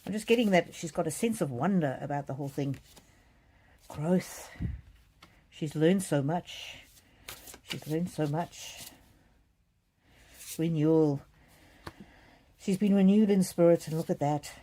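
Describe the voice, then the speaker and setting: A woman hosting a live psychic card reading, chatting casually and reading out comments in a gentle, musing, hesitant way with soft repetitions. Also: low-pitched, measured pace, long pauses, a little hiss or hum.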